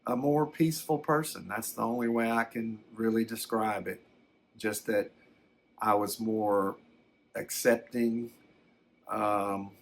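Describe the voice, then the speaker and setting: A man acting as an older storyteller with a lowish voice, talking very slowly. He is gentle and folksy, halting as he reflects, rambling through a first-person ASMR tale of alien abduction. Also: heavily acted.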